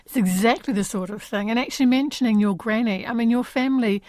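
A woman's voice: on the high side, fast, darkish tone, no echo. A woman, hosting a radio arts interview and asking conversational questions.

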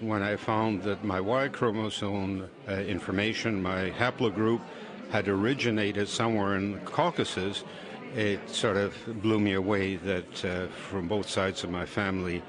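An older man, giving a hesitant, measured personal account in an interview. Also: lowish voice. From Croatia. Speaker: survey participant. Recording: people chattering in the background. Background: crowd chatter.

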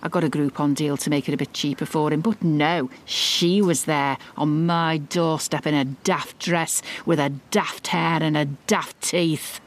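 A woman playing a possessive middle-aged mother in a confiding dramatic monologue, smug, mock-innocent and quietly malicious. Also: deep voice, steady tone, measured pace, few pauses. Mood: indignant, possessive. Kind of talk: dramatic monologue, confiding fiction podcast narration.

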